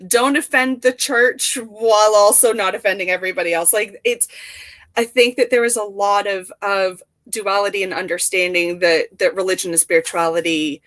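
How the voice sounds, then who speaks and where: A woman's voice: medium pitch, fairly even intonation, moderate pace, a bit bright. A woman from Scotland and England chatting casually as a guest psychic, often breaking into giggles as she talks. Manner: giggly, laughs through sentences. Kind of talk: casual animated chat.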